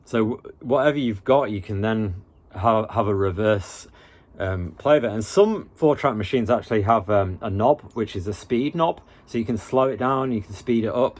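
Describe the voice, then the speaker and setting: A man hosting an informal hands-on tutorial, talking in a laid-back, halting, colloquial way. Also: lowish voice. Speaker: tutorial host.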